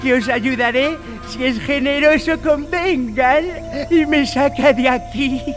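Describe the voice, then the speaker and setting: An actor playing a wary, eager old castaway pirate in a Spanish radio drama, halting and repeating himself, with a Castilian accent. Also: male voice, very high voice, over music. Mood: eager, pleading. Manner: halting, repeats words, excitable.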